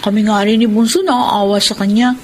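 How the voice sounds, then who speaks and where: A woman's voice: mid-range voice, steady tone, normal speed, a little mellow, a little hiss or hum, close to an everyday voice. A woman playing a dutiful, caring Filipino schoolgirl in a radio drama. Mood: eager, caring.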